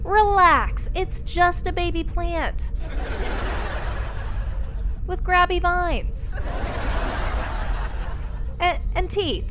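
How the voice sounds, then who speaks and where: A woman's voice: extremely high pitch, drawn-out words, long pauses, noisy background, heavily acted. A woman playing a thrill-seeking space pilot who jokes flippantly in a sci-fi comedy radio drama. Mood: playful, casual. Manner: flippant, pun-loving.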